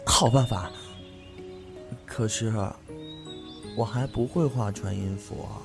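A man's voice: fairly low pitch, slow pace, vocal fry, music in the background. An actor playing a cheerful, easygoing young man from a clan who chats playfully in a fan audio drama. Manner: chatty, playful.

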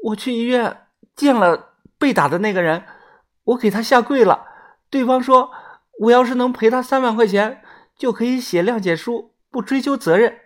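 A woman's voice: on the high side, pitched above the performer's own voice, lightly acted. A storyteller voicing a timid, desperate young woman who stammers and pleads for help. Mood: anxious, hesitant. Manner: stammering, halting.

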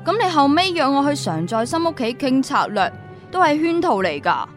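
A woman's voice: sits high, sing-song, normal speed, faint music behind. A woman with a Hong Kong Cantonese accent acting an outwitted female undercover agent, with theatrical exclamations, in a sci-fi radio drama. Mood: resigned.

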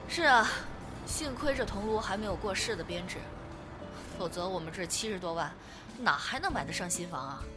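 A woman's voice: mid-range voice, animated, moderate pace, over music, close to an everyday voice. A woman acting a confident, playful, reassuring woman in her thirties in a Chinese audio drama. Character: lively, confident woman of thirty-three devoted to her girlfriend.

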